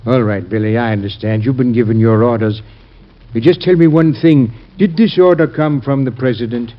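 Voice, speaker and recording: An actor playing an ageing, proud and wounded secretary in a 1940s radio drama. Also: male voice, mid-range voice, normal speed, close to an everyday voice. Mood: hurt, indignant. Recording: muffled old radio recording.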